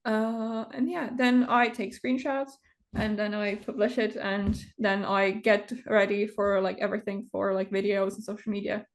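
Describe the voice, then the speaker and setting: A woman's voice: mid-range voice, level tone. Interview guest: non-native woman, twenties, hesitant delivery with frequent fillers, video call. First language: not English. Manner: hesitant, many pauses and fillers. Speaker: interview guest, game builder. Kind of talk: casual conversation.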